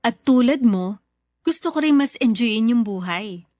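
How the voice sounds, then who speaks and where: A woman's voice: on the high side, expressive, measured pace, a little mellow. An actress playing a grateful, affectionate older sister and mother in a sentimental radio drama, speaking tenderly and earnestly. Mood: reflective.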